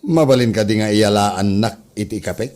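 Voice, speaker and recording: A man's voice: fairly low pitch, normal speed, a little mellow, close to an everyday voice. A man playing a bossy but jovial office superior in a comedy drama, with a Filipino Ilocano accent. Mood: bossy, pleased. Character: bossy, jovial male office superior ordering staff about.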